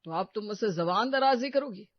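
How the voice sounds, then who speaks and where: A harsh, accusing grown husband in a cartoon story, always suspicious and quick to scold, put on by a woman narrator. The sound is dull and lo-fi, with a dry, close feel. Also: medium pitch, animated, no echo, voice pushed up, close to an everyday voice.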